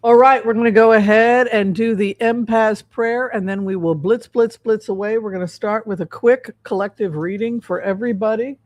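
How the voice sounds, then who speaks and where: A woman hosting a casual livestream as a psychic reader, talking in a halting, repetitive way. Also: on the high side, darkish tone. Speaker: host, psychic tarot reader.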